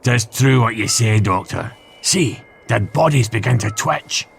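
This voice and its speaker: A man's voice: medium pitch, expressive, measured pace, vocal fry, a little hiss or hum, voice pushed up. A man voicing a fearful, superstitious farmer with old-fashioned rustic talk in a comic dub. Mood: wary.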